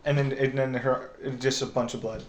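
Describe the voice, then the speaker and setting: A young man in casual chat with a monotone voice, telling a story in a flat, low-key way. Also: brisk, a crowd in the background. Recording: echoey, faint noise, through a phone.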